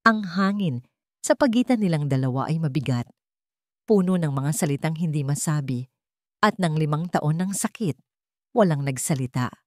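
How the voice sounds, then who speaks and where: A woman with a Filipino accent narrating a scripted romance story in a measured, emotive, dramatic way. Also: low voice, animated, darkish tone, no room sound, studio quality.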